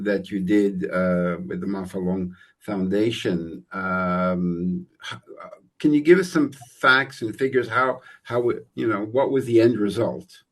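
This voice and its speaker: A male host with a low voice who asks eager questions. He cuts off his own words and starts over as he talks. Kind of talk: interview questions.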